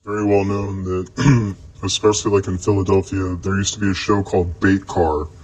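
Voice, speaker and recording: A man's voice: low-pitched, fairly even intonation, moderate pace, darkish tone, low-quality recording. A man from the United States explaining examples in a casual, loosely structured way.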